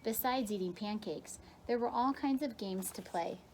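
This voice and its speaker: Expressive woman reading a picture book. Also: mid-range voice, normal speed, slight background noise. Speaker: kindergarten teacher reading aloud. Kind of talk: expressive children's picture-book read-aloud.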